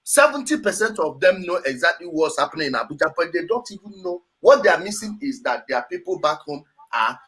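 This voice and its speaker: A man's voice: fairly high pitch, big pitch swings, fairly quick, hardly any pauses, bright, forceful tone, husky. A man with a Nigerian accent hosting a heated political discussion, speaking emphatically and repeating his phrases. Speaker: host.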